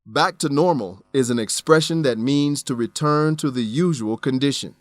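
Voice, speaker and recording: A man reading scripted English-lesson narration as a teacher, deliberate, instructional and carefully enunciated. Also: mid-range voice, no room sound.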